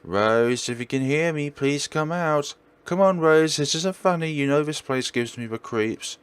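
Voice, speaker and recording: A woman's voice: low-pitched, measured pace, clear, resonant tone, dry recording, voice pushed up. A man voicing a jittery young female estate agent in a horror visual novel, exclaiming dramatically and talking to herself. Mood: pleading, uneasy.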